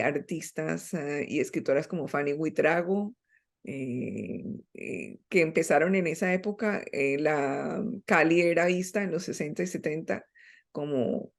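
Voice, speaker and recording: A woman's voice: sits low, vocal fry. A middle-aged Colombian woman, a writer, speaking as an interview guest in a reflective, hesitant way with many fillers. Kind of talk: interview conversation.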